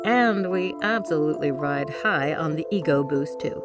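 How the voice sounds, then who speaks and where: Woman podcast host reading credits, lowish deep voice, chimey music going behind her. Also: moderate pace. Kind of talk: scripted podcast outro. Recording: clear music bed under the voice.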